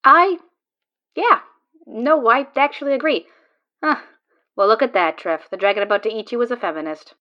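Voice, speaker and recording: A woman's voice: a little high, expressive, normal speed, long pauses, lo-fi sound, natural voice. A woman playing a tense, sarcastic card player trying to save her friend in an audio drama, with a biting, dramatic delivery. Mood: dry.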